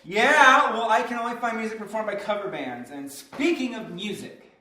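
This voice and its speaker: A man's voice: high-pitched, animated, normal speed, sharp tone. A man hosting a comedic variety show, delivering banter and introductions in an old-time radio format. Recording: noisy, echoey room, distant mic.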